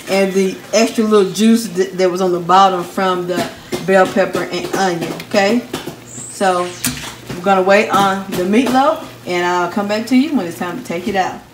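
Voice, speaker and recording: A woman's voice: mid-range voice, slight background noise. A middle-aged woman, chatting homey to viewers as she cooks dinner in her home kitchen. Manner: chatty, homey, talks to viewers while cooking. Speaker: home cooking vlogger. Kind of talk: casual cook-along narration. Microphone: phone camera held while cooking. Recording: echoey kitchen with pot and dish clatter.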